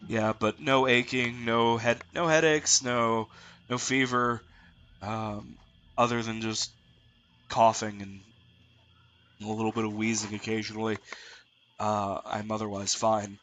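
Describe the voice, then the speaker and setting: A man narrating as dungeon master of a tabletop game, halting with many fillers, congested with a lingering cough. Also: fairly low pitch, flat delivery, fairly bright tone, slight background noise. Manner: halting, many ums and uhs.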